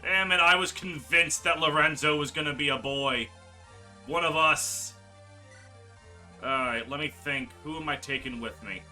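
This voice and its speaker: A man hosting a game playthrough, commentating with exclamations and drawn-out words for emphasis. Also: fairly high pitch, sharp tone. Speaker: gaming video host. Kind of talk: playthrough commentary, reading game dialogue aloud. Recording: music behind the voice. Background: game music.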